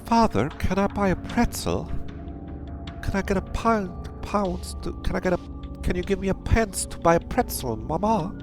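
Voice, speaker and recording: A man's voice: high-pitched, sing-song, moderate pace, music in the background, voice pushed up. A man voicing a meek, starving young child with a British accent, pleading.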